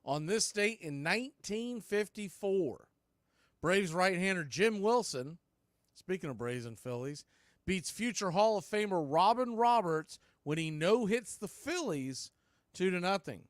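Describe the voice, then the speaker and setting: Man, high-pitched voice, baseball radio announcer, live play-by-play commentary, dry close sound. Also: animated, a little slow, no room sound.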